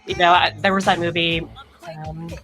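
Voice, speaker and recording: A woman's voice: lowish voice, little pitch movement, moderate pace. A woman co-hosting a casual panel chat about music. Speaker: podcast co-host.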